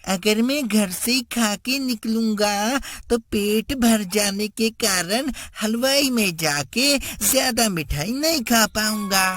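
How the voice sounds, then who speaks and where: A woman's voice: a little high, animated, slow, few pauses, a bit bright, slight background noise. A woman voicing an innocent, earnest young schoolboy in a children's cartoon story. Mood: excited.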